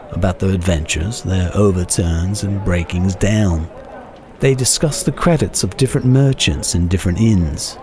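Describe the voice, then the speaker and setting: A man giving a measured, theatrical dramatic reading of a classic short story as its narrator. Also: lowish voice, mellow. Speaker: narrator, reader.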